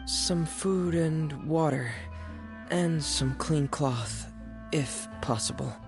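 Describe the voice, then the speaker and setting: An actor playing a shy, withdrawn, earnest teenage boy in a video game, speaking in a hesitant, halting way. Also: mid-range voice. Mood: nervous. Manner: hesitant, halting, soft-spoken. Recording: music behind the voice.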